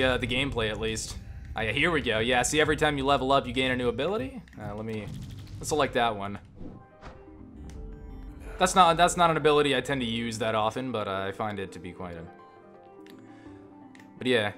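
A man giving casual, profane gameplay commentary, enthusiastic but halting, often restarting his phrases. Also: medium pitch, lively intonation, bright, forceful tone. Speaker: gamer and game reviewer. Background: game music and sound.

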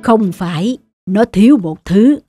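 A woman voicing an aging, kindly bakery-owner father in an animated moral tale, speaking in a measured, instructive way. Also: medium pitch, music in the background, lightly acted. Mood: earnest.

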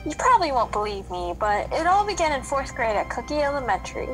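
A little cookie schoolgirl played by a woman, nervous and chatty, in a children's radio play. Her voice is high and bounces around, with music behind it. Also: very animated, quick pace, poor audio.

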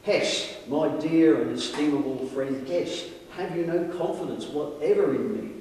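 A man reading the part of a headstrong, pompous colonial gentleman barrister in florid, old-fashioned dramatic style. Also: fairly high pitch, measured pace, voice pushed up, natural voice. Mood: indignant, stirring. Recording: very echoey hall, far from mic.

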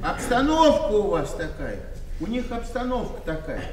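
A man's voice: high-pitched, wildly swooping pitch, moderate pace, darkish tone, reverberant, in a large hall, distant mic, a little hiss or hum, poor audio. An actor playing a confused, rambling old father from the village in a radio play. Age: older. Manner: rambling, plaintive. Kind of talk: 1990 radio play acting.

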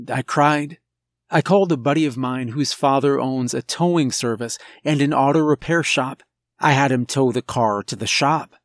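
A man narrating a scripted horror story in a deliberate, dramatic way with pauses. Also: mid-range voice, no room sound. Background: background music.

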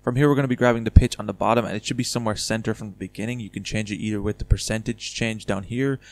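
A man with a fairly low voice talks quickly through a casual software tutorial. He explains each step informally and chattily, as a voice-over host. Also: steady tone.

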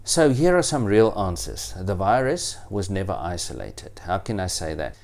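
A middle-aged man giving an explanatory talk to camera, with deliberate, halting phrasing. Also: low voice, very animated, vocal fry, darkish tone. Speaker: presenter. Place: indoors, small room with bookshelves.

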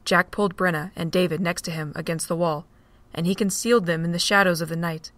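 Woman reading a story, lowish voice, steady and plain, dry sound. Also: fast talker, dry recording. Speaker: narrator. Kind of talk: storybook narration.

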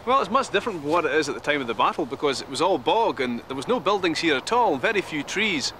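Quick-talking soldier's ghost. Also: male voice, fairly high pitch, big pitch swings, pushed and bright, slight background noise. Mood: earnest, matter-of-fact. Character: ghost of a medieval Scottish soldier, earnest veteran. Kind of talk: educational TV re-enactment interview.

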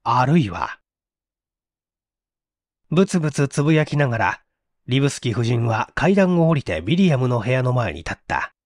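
A man's voice: medium pitch, big pitch swings, normal speed, long pauses, dry recording. Male audiobook narrator, dramatic and suspenseful, very varied intonation, dry studio-like sound. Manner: theatrical, suspenseful. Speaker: audiobook narrator, stage actor. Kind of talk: dramatic scripted mystery narration.

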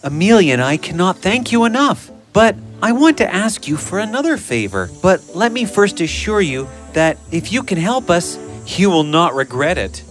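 A man playing a courteous, devoted young noble husband in an animated fairy tale, formal and earnest. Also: high voice, moderate pace, hardly any pauses. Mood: polite, eager. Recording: music bed behind the voice.

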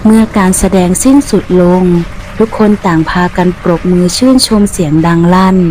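Mellow woman narrating a ghost story over music. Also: mid-range voice, normal speed, poor audio.

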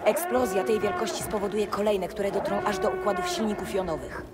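An actress playing a brisk, resolute military commander in a video game, speaking in terse, commanding lines. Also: female voice, mid-range voice, natural voice. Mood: focused. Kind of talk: video game dramatic acting. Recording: loud music and gunfire behind.

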